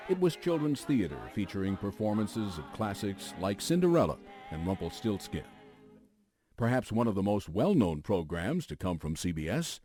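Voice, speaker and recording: A man hosting a radio retrospective, reading scripted narration in a polished, measured broadcast delivery. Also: on the low side, sing-song, warm tone, husky, no echo, soft background music. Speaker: news radio host.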